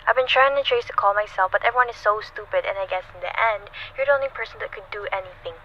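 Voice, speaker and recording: A woman's voice: mid-range voice, fast, muffled recording, slight background noise, lightly acted. A woman playing an anxious, imperious, indignant married lady in an old-fashioned radio thriller, insistent and haughty. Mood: agitated, complaining. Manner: insistent, haughty, overwrought delivery.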